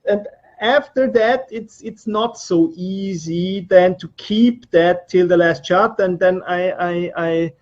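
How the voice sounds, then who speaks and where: A man's voice: sits high, slow pace. A man from Austria with an Austrian accent answering interview questions hesitantly, repeating words as he searches for the next. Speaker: trainee being interviewed, business coach. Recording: dull, lo-fi sound.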